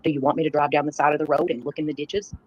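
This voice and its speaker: A woman hosting a livestream, addressing her viewers in an earnest, halting way. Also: low-pitched, little pitch movement, breathy edge. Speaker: live stream host. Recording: dull, lo-fi livestream playback.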